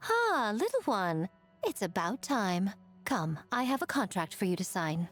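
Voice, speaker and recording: A woman's voice: mid-range voice, very animated, faint music behind. A woman voicing a sly, teasing, self-assured businesswoman who coaxes playfully in a video game. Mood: coaxing, teasing. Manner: coaxing, playful, drawn-out phrasing. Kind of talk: animated video game dialogue.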